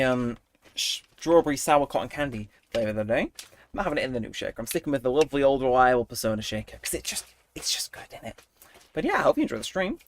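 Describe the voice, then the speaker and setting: A man's voice: a little high, slight background noise. A young adult man chatting casually with viewers while streaming a game, rambling and poking fun at himself. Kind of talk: casual livestream chat while gaming.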